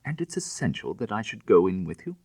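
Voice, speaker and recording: A man voicing an anxious, earnest young gentleman accomplice in an audiobook, with agitated, formal, old-fashioned phrasing. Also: on the low side, big pitch swings, fairly quick, gravelly, breathy edge, dry recording, studio quality, pitched above the performer's own voice, heavily acted. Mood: reluctant, uneasy. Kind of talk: dramatised audiobook reading of classic fiction.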